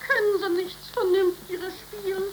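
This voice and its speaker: A woman's voice: very high-pitched, fairly even intonation, normal speed. A woman playing an indignant, haughty upper-class lady from East Prussia with an East Prussian accent, in 1930s radio drama style. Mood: haughty indignation. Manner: haughty, plaintive, exclaiming. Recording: noisy vintage 1930s radio recording.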